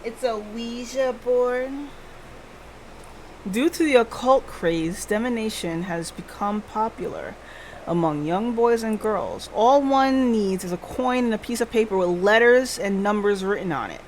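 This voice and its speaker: A woman's voice: medium pitch, measured pace, a little hiss or hum, poor audio. A woman streaming a live playthrough, reading visual novel text aloud. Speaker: game streamer. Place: live stream with faint background sound.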